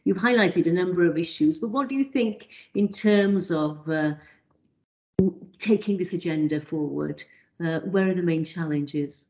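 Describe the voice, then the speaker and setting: A man chairing an online committee meeting in a measured, courteous way with small hesitations. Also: high voice, darkish tone, muffled recording. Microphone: video call.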